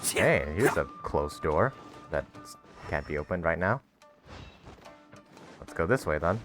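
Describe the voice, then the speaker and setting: Casting: man for casual game commentary, low register, expressive and varied pitch, relaxed think-aloud delivery. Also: takes it slowly. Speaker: gaming streamer. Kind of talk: casual let's-play commentary. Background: soft game music.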